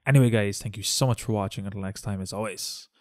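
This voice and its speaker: A man narrating a step-by-step software tutorial as its host. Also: lowish voice, quick pace, dry recording, clean studio sound.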